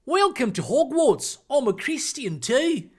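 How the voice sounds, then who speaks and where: Man as young schoolboy, wobbly Australian accent drifting to Cockney, raised pitch, polite, friendly, slyly pious, comic storybook. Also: very animated, unhurried, darkish tone, full character voice. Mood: welcoming. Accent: put-on Australian slipping toward Cockney.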